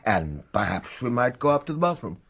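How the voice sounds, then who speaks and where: An actor playing a brisk, dryly amused English chief constable in a 1920s radio mystery, with clipped authority. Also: male voice, mid-range voice, big pitch swings, noisy background. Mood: brisk probing. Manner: authoritative, clipped, dry questioning. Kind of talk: radio mystery drama acting.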